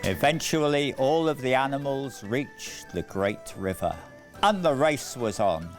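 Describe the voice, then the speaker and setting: Slow, measured male storyteller over music. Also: medium pitch, lively intonation. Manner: measured, deliberate, dramatic phrasing. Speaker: narrator. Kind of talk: scripted children's storytelling narration.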